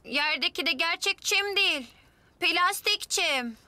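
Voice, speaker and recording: A woman's voice: very high voice, expressive, measured pace, pushed and bright, dry recording, heavily acted. A woman playing an earnest, competitive little boy twin in a dubbed children's TV drama, with a childlike manner. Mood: eager. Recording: music underneath.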